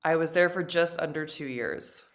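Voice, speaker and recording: A woman's voice: sits low, normal speed. A woman from Canada, a podcast guest, tells her story in an upbeat, chatty way. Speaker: interview guest. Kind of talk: casual storytelling.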